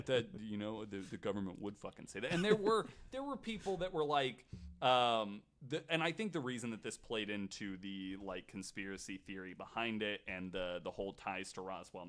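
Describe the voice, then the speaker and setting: Male podcast storyteller, low register, loose conversational delivery, dry sarcastic streak and casual swearing. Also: a bit bright. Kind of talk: casual chat retelling researched stories.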